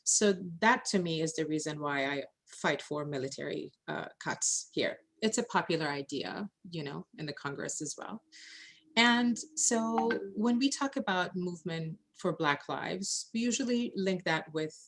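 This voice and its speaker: A woman's voice: low-pitched, animated, a little slow, a bit bright. A woman from Iran moderating an online meeting, speaking hesitantly with many fillers. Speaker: event moderator. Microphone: video call.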